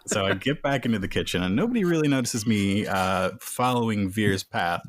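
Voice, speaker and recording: A man's voice: fairly low pitch, animated, brisk. A man playing a tabletop game, improvising casually as his character and describing what the character does.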